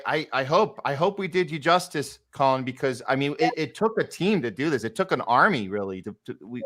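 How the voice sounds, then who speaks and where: A man's voice: mid-range voice, fairly bright tone. Effusive male host with a mid-range voice. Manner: effusive, stumbling repeats. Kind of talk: casual podcast hosting.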